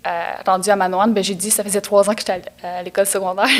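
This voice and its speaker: A young woman from Quebec whose first language is Atikamekw, telling stories as a podcast guest with a Quebec French accent and many hesitations. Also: mid-range voice. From Atikamekw community of Manawan, Quebec. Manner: halting, with frequent hesitations and restarts. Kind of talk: casual conversational storytelling.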